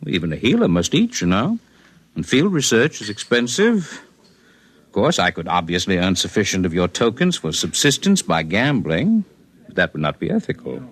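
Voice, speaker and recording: A calm alien healer who talks like a scholar, measured and faintly superior. His low voice rises and falls in lively swings. It sounds like an old radio play. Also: slightly rough, dry recording, exaggerated voice. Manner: measured, lofty, precise. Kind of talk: 1950s radio drama acting.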